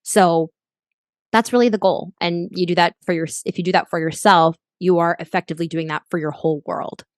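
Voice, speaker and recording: A woman's voice: fairly low pitch, fairly even intonation, fairly quick, dry recording, clean studio sound. A young adult woman, a life coach as a podcast guest, talking earnestly and reflectively with frequent restarts. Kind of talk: podcast interview.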